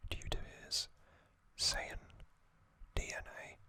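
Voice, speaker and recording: A man narrating an ASMR story recap in a breathy, unvoiced whisper, in short deliberate phrases with pauses between them. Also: sharp tone. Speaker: ASMR host.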